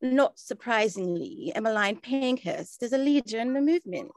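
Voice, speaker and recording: Woman as genteel 1920s auntie, period drama: fairly high voice, formal, earnest, close dry sound. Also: animated, normal speed, no echo. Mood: earnest, informative. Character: genteel, earnest well-travelled aunt of the 1920s. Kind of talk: scripted period radio drama acting.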